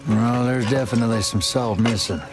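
An actor playing a shady, cool-headed Old West hired gun with a dry, measured manner in a video game. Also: male voice, mid-range voice, steady tone, music in the background, lightly acted. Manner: dry, measured, smugly assured. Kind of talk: video game cutscene acting.

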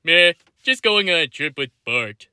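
A man's voice: fairly high pitch, big pitch swings, measured pace, bright, forceful tone, clean tone, dry recording, clean studio sound, exaggerated voice. A man voicing a laid-back, beer-loving middle-aged cartoon dad in a casual, offhand way. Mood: easygoing. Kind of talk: fan-made cartoon voice acting.

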